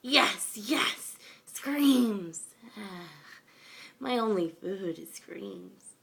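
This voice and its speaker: A young woman voicing an evil but shy half-dragon pony creature, slow and gloating, in a story reading. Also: mid-range voice, expressive, creaky, fairly bright tone, full character voice.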